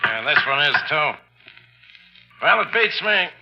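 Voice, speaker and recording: An actor playing a blunt, stubborn small-town chief, gruff and folksy, in old-time radio mystery style. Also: male voice, a little high, very animated, measured pace, muffled recording, over loud music, full character voice. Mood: puzzled. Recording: old radio drama, band-limited.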